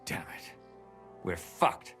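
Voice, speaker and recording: A man's voice: slow, a bit bright, soft background music. A man voicing a sceptical, blunt medieval investigator in a video game cutscene, questioning everything. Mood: exasperated.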